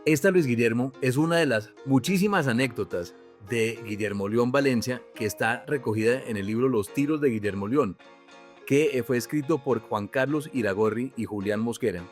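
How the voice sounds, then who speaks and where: A man hosting a podcast, presenting in a steady, well-articulated way over faint music. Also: mid-range voice, moderate pace. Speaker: podcast host. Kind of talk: scripted podcast narration and introduction.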